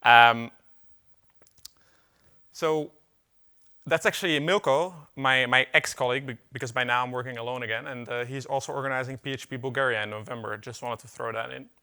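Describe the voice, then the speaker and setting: Young dutch guy doing a tech talk, bright tone, casual, lots of uhs and dry jokes. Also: mid-range voice, steady tone, fairly quick, smooth. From Netherlands. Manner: casual, filler-heavy, wry asides. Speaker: conference speaker. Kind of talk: technical conference talk. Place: conference hall.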